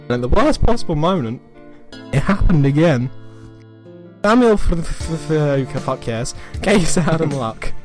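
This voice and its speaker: Male reader, fairly high voice, comic dramatic storytelling, mock-serious delivery, stretched phrases, stumbles, music behind. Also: expressive, measured pace, a little mellow. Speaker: reader of amateur fan fiction.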